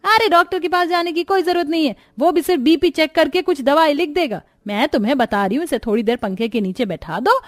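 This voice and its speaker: A woman's voice: high voice, a little fast, hardly any pauses, no echo, studio quality, close to an everyday voice. A woman voicing a stingy, cunning middle-aged mother-in-law in a cartoon story, scolding and accusing in a nagging manner. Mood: angry scolding. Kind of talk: Hindi cartoon moral-story dialogue.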